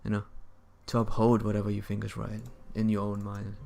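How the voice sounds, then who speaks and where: A man's voice: lowish voice, monotone, brisk, warm tone. A man casually rambling as he streams a game, musing and opinionated, often repeating words as he searches for phrasing. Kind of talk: casual rambling commentary while gaming. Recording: clear background noise, game ambience.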